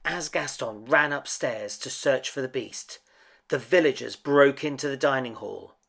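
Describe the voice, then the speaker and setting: Slow, gravelly male storyteller. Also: medium pitch, a bit bright. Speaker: storybook reader. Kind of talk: read-aloud children's story narration.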